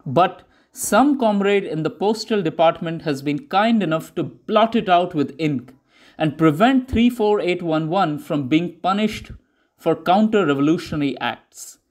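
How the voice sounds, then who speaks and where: A man with a high-pitched voice narrates a scripted newsreel documentary. He speaks in measured, declarative statements, like official propaganda. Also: animated.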